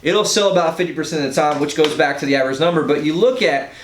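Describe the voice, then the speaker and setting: A man working as a real estate agent makes an informal, emphatic and persuasive sales pitch, often checking in with a rhetorical "right?". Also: quick pace. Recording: roomy echo, faint low rumble.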